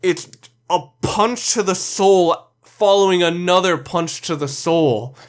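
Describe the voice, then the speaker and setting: A man's voice: high-pitched, slow pace. Guy doing opinionated countdown video commentary, blunt and sweary, leans hard on his words. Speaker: video reviewer narrating a countdown.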